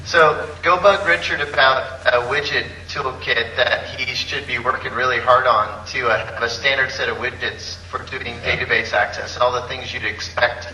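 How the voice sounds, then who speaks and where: A man's voice: medium pitch, steady tone, normal speed, few pauses, bright, forceful tone, a little hiss or hum. A man co-hosting a live podcast panel, chatting and joking with the others in front of an audience. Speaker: podcast co-host. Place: conference hall before a live audience. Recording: roomy hall, camera far off.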